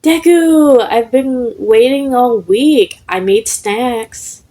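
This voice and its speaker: A friendly male ghost, acted by a woman in an audio story. He is dramatic and gushing, with raised pitch and drawn-out words. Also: mellow. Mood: excited. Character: excitable, theatrical, friendly male snack ghost.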